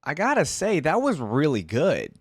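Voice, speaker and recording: A man's voice: on the high side, wildly swooping pitch, moderate pace, breathy edge, dry recording, clean studio sound. A man giving casual, enthusiastic reaction commentary as a video host, chatting directly to his viewers. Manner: enthusiastic, conversational, addresses viewers as "guys". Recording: music playing behind the voice.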